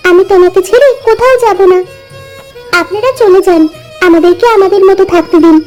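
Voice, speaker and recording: A woman voicing an earnest, devoted twelve-year-old village girl in an animated moral story, speaking with insistent emotion. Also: very high voice, fairly quick, faint music behind. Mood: resolute, devoted.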